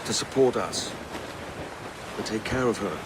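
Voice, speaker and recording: A man playing a gentle, regretful sea captain and father with a measured, hesitant manner in a video game cutscene. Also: on the low side, natural voice. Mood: gently indulgent. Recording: noisy wind and waves on ship.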